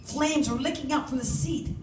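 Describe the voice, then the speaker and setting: A woman reading a first-person story aloud as its author, with an animated, dramatic delivery. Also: sits high, moderate pace. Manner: animated, acts out shouted dialogue. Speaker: author reading from their own novel. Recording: roomy, distant mic, faint background noise.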